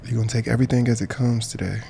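A man's voice: mid-range voice, steady tone, racing, gravelly, dark tone, slight background noise. A man guiding a meditation with informal prayer in a casual, colloquial, encouraging way. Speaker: meditation guide. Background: music behind the voice.

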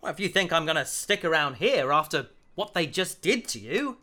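A man's voice: fairly high pitch, sing-song, moderate pace, sharp tone, full character voice. A man playing an earnest, reserved and loyal police officer in an audio drama. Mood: indignant, loyal.

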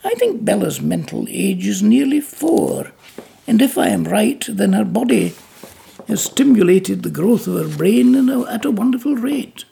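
A man voicing a calm Victorian surgeon who lectures in measured, clinical terms. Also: high voice, vocal fry, heavily acted. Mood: clinical, earnest. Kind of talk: audiobook character voice.

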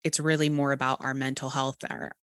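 A woman's voice: rumbling low, flat delivery, brisk, no room sound. A woman with a Texas accent hosting an interview, talking candidly and encouragingly, with the odd casual swear. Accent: Texan. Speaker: podcast host.

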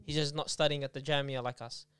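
A man's voice: a little high, little pitch movement, fast, fairly bright tone, clean tone, no room sound. A young man with a mild Australian accent chatting casually on a podcast, rambling with lots of "like". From Melbourne, Australia. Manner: rambling, uses like and innit.

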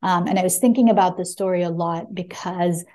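Mellow, low-voiced woman speaking thoughtfully. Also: fairly even intonation, brisk. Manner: thoughtful, hesitant, searching for words. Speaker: debut memoir author, guest. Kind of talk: reflective interview answers, with a short reading at the start.

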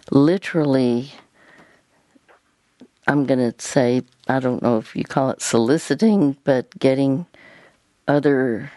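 Older woman, radio counseling host; very slow, halting delivery, dry studio sound. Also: very deep voice, a little mellow, no echo. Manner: slow, halting, many pauses and fillers. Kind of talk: gentle counseling conversation.

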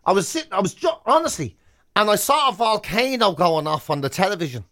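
A man's voice: high-pitched, fast. A man with an Irish accent chatting casually as a radio host, telling comic anecdotes and acting out both sides of the dialogue. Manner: animated storyteller, acting out dialogue. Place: radio studio.

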